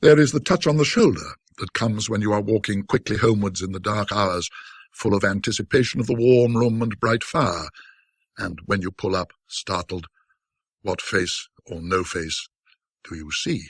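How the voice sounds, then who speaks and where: A man narrating classic ghost stories in a theatrical, old-fashioned literary style, with a wry, scholarly, self-deprecating manner and dramatic pauses. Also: lowish voice, breathy edge, no echo, studio quality.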